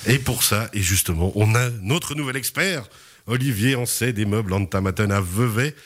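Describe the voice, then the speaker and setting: A man hosting a local radio talk show, chatting casually with guests, laughing often and filling pauses with hesitations. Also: fairly low pitch, fairly bright tone.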